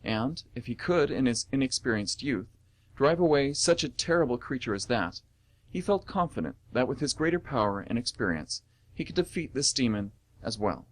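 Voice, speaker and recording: Male host, radio theatre, scripted narration, mid pitch, lively intonation. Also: moderate pace. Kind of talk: announcing and scripted story narration.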